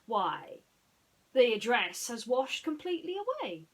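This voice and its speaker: Woman acting a male mouse detective, storybook, impatient, excitable, emphatic, raised animated pitch. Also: a little slow. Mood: eager, cocksure. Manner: emphatic, interrupting, declaiming.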